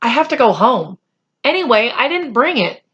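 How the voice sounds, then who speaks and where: Woman voicing an earnest, high-pitched schoolboy. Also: very animated, normal speed, a bit bright, husky, muffled recording, full character voice. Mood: reluctant. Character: guarded, earnest schoolboy keeping an exciting secret.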